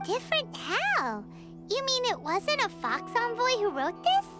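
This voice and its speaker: A woman voicing a small, chirpy, curious fairy companion in a video game, with a squeaky childlike voice. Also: wildly swooping pitch, a little slow, heavily acted. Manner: refers to self by name, bubbly. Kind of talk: video game character acting. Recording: music playing behind the voice.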